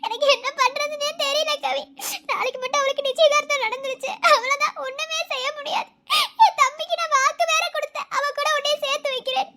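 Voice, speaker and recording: A woman's voice: very high voice, level tone, brisk, hardly any pauses, pushed and bright, a little hiss or hum, voice pushed up. A woman voicing a tearful young wife grieving for her brother, speaking through sobs. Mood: anguished. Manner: sobbing, pleading. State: crying.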